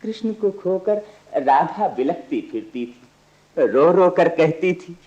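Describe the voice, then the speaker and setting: An actor playing a humble, devout village farmer in a radio play, speaking deferentially and with simple pride. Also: male voice, high-pitched, expressive, slow, mellow. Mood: wistful. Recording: dull archival radio recording.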